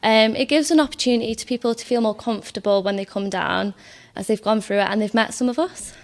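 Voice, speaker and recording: A woman's voice: on the high side, fast talker. A woman from an amateur theatre society answering interview questions, explaining things step by step.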